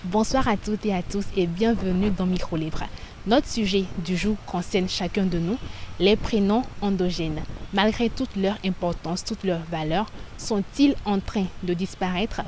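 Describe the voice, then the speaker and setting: Female host, scripted and measured. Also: medium pitch, a little mellow, a little hiss or hum. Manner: clear, measured delivery. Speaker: TV presenter. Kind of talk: scripted show presentation.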